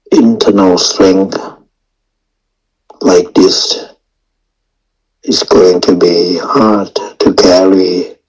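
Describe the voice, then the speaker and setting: Very slow, deep, husky male meditation teacher. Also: a little mellow, poor audio. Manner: halting, short phrases with long pauses; non-native English phrasing. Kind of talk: guided meditation and dharma talk.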